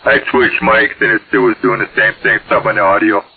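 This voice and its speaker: A man's voice: on the low side, level tone, fast, slightly rough. A man chatting casually over CB radio in a hesitant, folksy way. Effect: radio transmission. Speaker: CB radio operator. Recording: tinny, band-limited CB radio signal.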